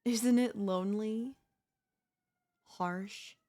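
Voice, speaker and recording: A woman playing a teasing, possessive young woman in an ASMR roleplay, with a smug, coy, drawn-out delivery. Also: medium pitch, steady tone, mellow. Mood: needling, disappointed. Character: teasing, possessive young woman, former childhood bully next door.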